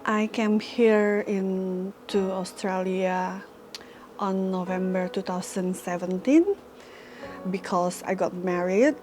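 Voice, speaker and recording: A woman from Indonesia, telling her story in halting, simple English. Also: mid-range voice, a little mellow, faint music behind. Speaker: interviewee. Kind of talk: personal testimony.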